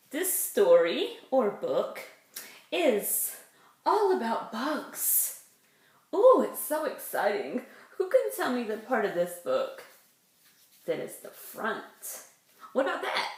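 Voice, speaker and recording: A woman, a preschool teacher leading circle time and reading a picture book, asking simple questions and explaining words for small children. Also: mid-range voice. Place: indoors in a room at home. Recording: roomy, echoey home recording.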